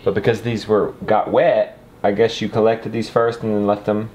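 A man's voice: fairly low pitch, little pitch movement, moderate pace, a little mellow, slight background noise, rough recording. A man in his thirties talking casually and encouragingly, a folksy father vlogging through farm chores. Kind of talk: casual vlog talk while doing farm chores.

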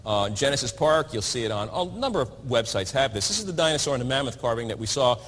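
A man's voice: mid-range voice, expressive, a bit bright, a little hiss or hum. Man lecturing in a reverberant hall. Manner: halting, with fillers. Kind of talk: lecture talk. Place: stage with a lectern.

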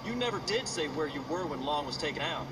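A man's voice: fairly high pitch, lively intonation, quick pace, lightly acted. An actor playing a stern, blunt male wrestler questioning others in a video game cutscene. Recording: distant game audio, loud noise.

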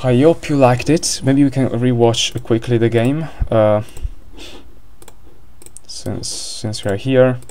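A man's voice: mid-range voice, steady tone, slow pace, dark tone, slight background noise. A man with an Italian accent commentating a board game live, hesitant, with many fillers and restarts.

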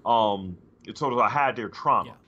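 A man's voice: lowish voice, moderate pace, bright, forceful tone, slightly rough. A middle-aged man co-hosting a podcast in casual chat, hesitant and full of restarts, on a studio mic over a video call from home. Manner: hesitant, many restarts and fillers. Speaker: podcast co-host. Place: home room, indoors. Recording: dull lo-fi call audio.

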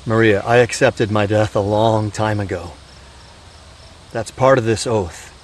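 An actor playing a weary, haunted middle-aged soldier and gentle father in an audio drama, halting and confiding. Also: on the low side, lightly acted. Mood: earnest, confiding. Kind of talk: naturalistic audio drama acting. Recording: steady outdoor night-insect noise.

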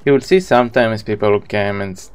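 A man's voice: lowish voice, fast talker, darkish tone, clean tone, faint music behind. A man in his thirties with an Israeli accent, a native Hebrew speaker, chatting casually with viewers as he live-streams a video game.

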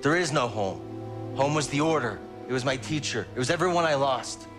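An actor playing a weary, determined young warrior in exile, earnest and brooding, in a video game cutscene. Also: male voice, mid-range voice, expressive, fairly quick, music in the background, lightly acted. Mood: resolute, pained.

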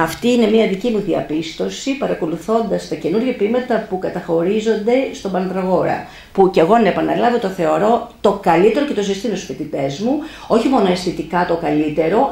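A woman's voice: mid-range voice, expressive, vocal fry, darkish tone. A middle-aged woman, a university professor, reading a prepared critical talk at a book launch into a table mic in a small cafe room, with loose spoken asides. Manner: measured academic reading, slips into loose asides. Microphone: table mic on a stand. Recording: echoey room.

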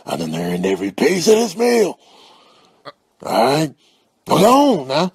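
A man's voice: fairly high pitch, wildly swooping pitch, unhurried, a little mellow, breathy edge, heavily acted. A man voicing an exasperated, mouthy pet animal in a comedic voice-over, with slangy, indignant trash talk. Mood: indignant warning.

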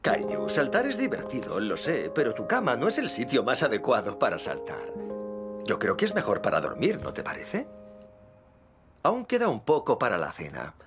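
An encouraging young cartoon father, with a Castilian Spanish accent. He speaks patiently with very animated, swooping intonation over a music bed. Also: mid-range voice, moderate pace. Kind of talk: cartoon dubbing for young children.

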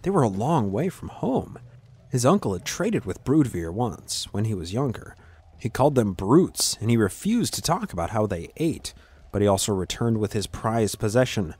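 A man narrating scripted fantasy fiction in a measured, dramatic storytelling manner with deliberate pauses. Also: lowish voice, a little mellow, breathy edge, no room sound.

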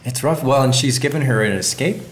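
A man's voice: medium pitch, fairly quick, noisy background. A man co-hosting a podcast in a reflective, conversational style. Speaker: podcast co-host.

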